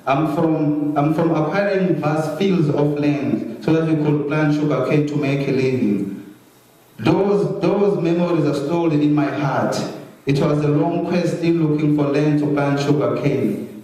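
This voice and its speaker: Mellow Swazi man reciting in echoey room. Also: fairly high pitch. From Eswatini (Swaziland). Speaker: poet reading own work. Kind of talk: poetry recitation to an audience. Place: large echoey room, live event. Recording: very echoey room, distant mic.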